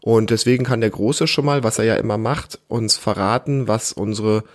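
A man's voice: lowish voice, fairly even intonation, moderate pace. A man hosting a casual family podcast, chatting in a patient, encouraging way and hesitating as he explains. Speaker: podcast host.

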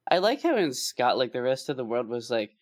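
A man's voice: mid-range voice, a little fast, soft background music. A man co-hosting a podcast in casual comedic chat, making bawdy jokes and laughing often. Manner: animated, bawdy joker who laughs often. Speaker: podcast co-host.

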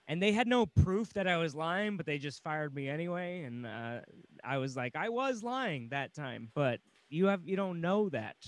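A man's voice: fairly high pitch, no echo. A man with an American accent, a stand-up comedian telling wry, self-deprecating stories in casual conversation.

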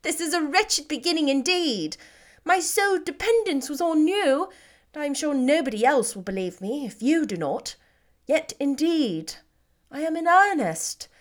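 A woman's voice: high-pitched, very animated, normal speed, pitched above the performer's own voice. A woman voicing a spirited, witty young woman who talks playfully and teasingly. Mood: earnest.

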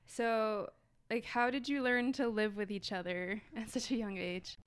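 A woman's voice: fairly high pitch, steady tone, unhurried, smooth, no echo. A woman hosting a podcast, reading a scripted intro and then interviewing her guests with light teasing. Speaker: podcast host.

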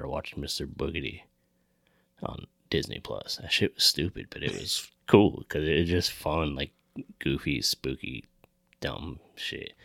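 A man's voice: a bass voice, creaky, fairly bright tone, dry recording, studio quality. A man hosting a podcast in casual, informal chat, swearing freely. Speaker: podcast host.

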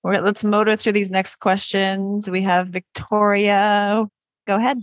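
A woman hosting informally, briskly thanking people and handing over between questioners. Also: medium pitch, level tone. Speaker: host moderating audience questions.

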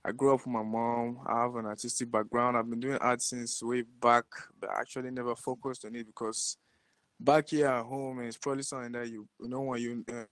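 Cameroonian man, mid-pitched, informal interview guest with slangy, brotherly phrasing. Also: flat delivery, fairly quick, a bit bright, dry recording. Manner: informal, slangy, frequently says bro and man. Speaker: guest, digital artist. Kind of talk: casual interview chat.

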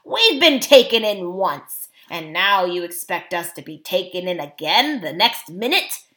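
A woman voicing a surly, defiant dwarf man in a storybook, with a growling, mocking, rough colloquial delivery. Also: lowish voice, sing-song, unhurried, sharp tone, full character voice. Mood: sneering.

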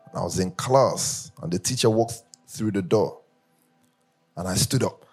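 A man from Nigeria preaching a sermon, telling humorous personal stories in a lively way that rises into sing-song emphasis. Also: deep voice, a little slow, breathy edge, faint music behind. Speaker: pastor.

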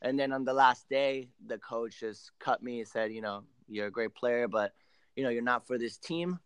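A man in his late twenties, originally from Argentina with Spanish as his first language, talks casually as a podcast guest. His voice is smooth and clear, at an average pitch, and the sound is close and dry. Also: moderate pace, fairly bright tone, no echo. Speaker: professional soccer player, podcast guest. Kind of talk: casual interview storytelling.

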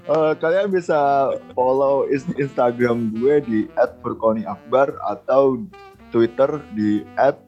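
A man's voice: mid-range voice, slow pace, darkish tone, faint music behind. A man with an Indonesian accent chatting casually as a co-host, joking and a little hesitant, on a dull lo-fi recording. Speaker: trainee podcast co-host. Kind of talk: casual chat.

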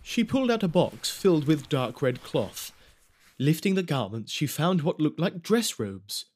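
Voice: medium pitch, very animated, unhurried, a little mellow. A narrator telling a spooky audio drama story in scripted storytelling style. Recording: music behind the voice.

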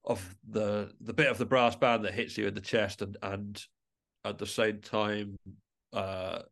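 A man speaking as a musician guest in a reflective interview, halting and often rephrasing mid-sentence. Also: lowish voice, flat delivery, creaky, a bit bright.

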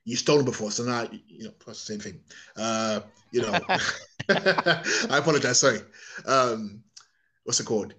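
A man's voice: mid-range voice, normal speed, pushed and bright. A man from England, giving a rambling, opinionated monologue on a podcast, often restarting and repeating his words.

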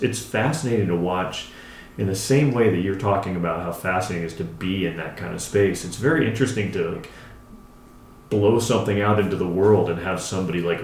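A man's voice: deep voice, a little hiss or hum. A man co-hosting a casual podcast as a writer, talking in an enthusiastic, rambling, conversational way. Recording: echoey untreated room.

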